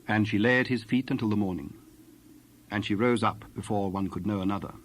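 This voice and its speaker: A man's voice: lowish voice, fairly quick, slight background noise, low-quality recording. A man narrating a dramatized Bible story in archaic English, reading from a script.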